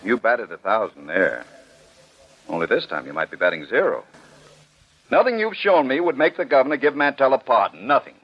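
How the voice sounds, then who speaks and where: An actor playing a stern, skeptical commissioner in a 1940s radio drama, sarcastic and high-handed, full of pointed questions. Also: male voice, big pitch swings, a little fast. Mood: firm, sardonic. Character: stern, skeptical male commissioner, unyielding defender of jury verdicts. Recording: muffled vintage 1940s radio broadcast.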